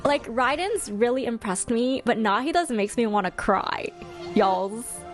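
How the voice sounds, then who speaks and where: A woman streamer giving casual, chatty fan commentary to her live chat in an expressive, slangy way. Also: fairly high pitch, a little slow, soft background music. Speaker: streamer hosting a ranking video.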